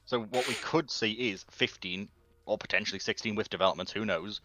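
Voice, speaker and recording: Forceful, lowish-voiced man, inquisitive. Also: fairly quick, dry recording, soft background music, rough recording. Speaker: panelist in a group discussion. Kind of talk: casual speculative discussion.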